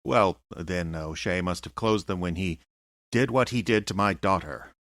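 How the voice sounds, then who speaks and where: A man's voice: low-pitched, moderate pace, a bit bright, no room sound. An actor playing a flustered, exasperated middle-aged husband and father, blustering and baffled, in an audio comedy drama. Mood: defensive.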